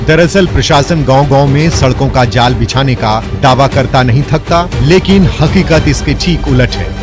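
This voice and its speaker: A man's voice: medium pitch, moderate pace, music in the background. A man reading scripted news narration as a voice-over reporter in a formal manner.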